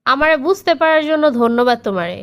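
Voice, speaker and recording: Woman voicing a clear, high-voiced caring wife. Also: lively intonation, quick pace. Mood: attentive. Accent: Bangladeshi Bengali. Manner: earnest, attentive. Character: caring, remorseful wife and elder sister-in-law. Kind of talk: cartoon family drama.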